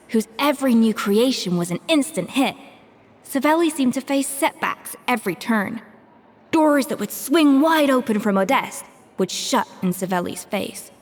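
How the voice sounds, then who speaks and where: An actress playing a seasoned head chef in a video game, talking in a reflective, measured way. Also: female voice, on the high side, in a large hall. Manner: reflective, measured storytelling with sighs. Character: seasoned woman head chef, thoughtful and precise. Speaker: chef character. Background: soft game music.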